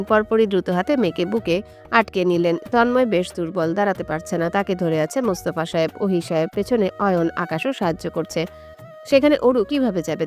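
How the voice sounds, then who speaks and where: A woman's voice: mid-range voice, a little mellow. A woman reading a scripted romantic story as its narrator.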